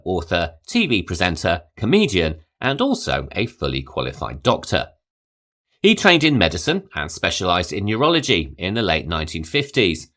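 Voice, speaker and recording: Man, podcast host, low voice, animated intonation, measured scripted reading. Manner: measured, reads quotes aloud. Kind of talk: scripted film history narration.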